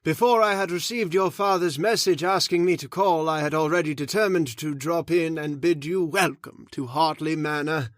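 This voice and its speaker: A man's voice: a little high, moderate pace, few pauses, no echo, studio quality, natural voice. A man playing a genteel village reverend, formal, polite and slightly hesitant, in an old-time radio drama style. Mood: courteous. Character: courteous, genteel English village reverend, mildly dismayed.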